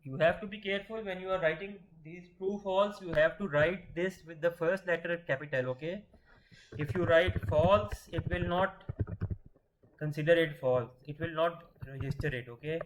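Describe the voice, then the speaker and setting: Guy teaching coding, higher-ish voice, keeps asking then answering himself, faint hiss. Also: moderate pace. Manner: patient, asks questions then answers them; restarts words mid-sentence. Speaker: programming teacher. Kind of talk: screen-share coding lesson.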